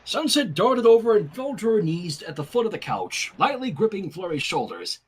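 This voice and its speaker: Husky, very animated fan fiction reader. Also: mid-range voice, normal speed. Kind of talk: story narration with casual asides.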